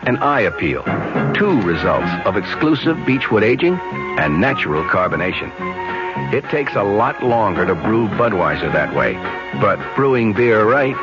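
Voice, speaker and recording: Lively, low ad announcer over music. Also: male voice, normal speed. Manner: friendly, persuasive ad delivery. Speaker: commercial announcer. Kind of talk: 1970s radio beer commercial. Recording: dull lo-fi 1970s radio broadcast with music.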